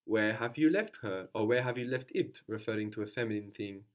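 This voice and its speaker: Guy teaching a language class, lowish voice, patient, keeps asking questions and repeating the answers. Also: fairly quick. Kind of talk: guided language lesson.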